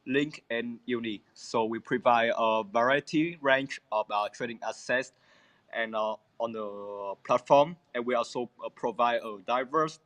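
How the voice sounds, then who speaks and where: A man of middle pitch explains things in measured phrases, pausing often on "uh". His voice sounds dry and close, with no room around it. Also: a bit bright, no echo. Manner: measured, with frequent "uh" pauses. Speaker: crypto project team member. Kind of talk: answering AMA questions.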